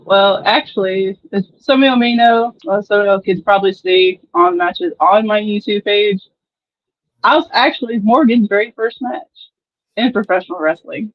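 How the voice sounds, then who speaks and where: A woman's voice: medium pitch, moderate pace, no room sound, muffled recording. A woman wrestler from Dallas, confidently talking up her matches. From Dallas, Texas. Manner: confident, tough talk. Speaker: professional wrestler guest. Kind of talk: casual interview chat.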